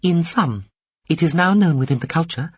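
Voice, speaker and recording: A man voicing a prim, high-pitched little alien officer. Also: lively intonation, normal speed, slightly rough, dry recording, highs cut off. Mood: officious. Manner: stiff, formal, bureaucratic phrasing. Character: small formal alien male officer, brisk, officious. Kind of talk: audiobook character voice.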